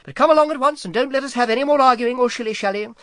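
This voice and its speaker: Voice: mid-range voice, very fast, fairly bright tone, no echo, clean studio sound, pitched above the performer's own voice, lightly acted. A reader voicing a big, good-natured male dragon who murmurs sleepily in a half-whisper. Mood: bustling.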